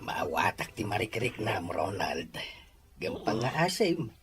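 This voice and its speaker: A man's voice: on the low side, very animated, measured pace, music in the background. A man playing a patient father who consoles and counsels a heartbroken child in an audio drama. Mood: concerned, consoling. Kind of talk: Ilocano audio drama acting.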